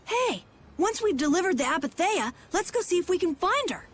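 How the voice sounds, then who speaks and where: A high, animated, earnest young boy. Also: fairly quick. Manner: earnest, excitable. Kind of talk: animated video game dubbing.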